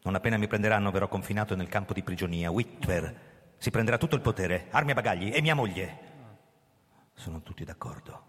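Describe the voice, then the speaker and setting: An actor playing a bitter, framed police commissioner on the run, with a brooding, dramatic delivery in a radio drama. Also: male voice, fairly low pitch, a little fast, a bit bright, husky. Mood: resigned. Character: framed police commissioner, bitter fugitive man narrating his downfall. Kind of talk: radio drama acting and first-person narration. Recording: echoey hall, music underneath. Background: music.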